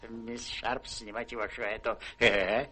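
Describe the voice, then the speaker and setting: An actor playing a miserly, crude old country baronet in a radio play, with a sly, teasing, drawling manner. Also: male voice, medium pitch, level tone, unhurried, pushed and bright, slight background noise. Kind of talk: theatrical radio play acting.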